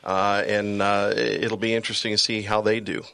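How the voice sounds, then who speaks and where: American man, low pitch, dry close sound, casual sports podcast talk, radio broadcaster. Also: level tone, normal speed, creaky, sharp tone, no room sound. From Michigan, USA.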